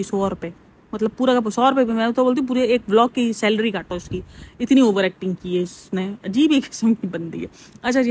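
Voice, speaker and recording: A woman's voice: mid-range voice, darkish tone, slight background noise. A woman giving casual, opinionated gossip commentary as a vlogger, talking straight to her viewers. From Pakistan. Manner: chatty, opinionated, keeps calling listeners "bhai". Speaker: vlogger reviewing celebrity vlogs and TV shows.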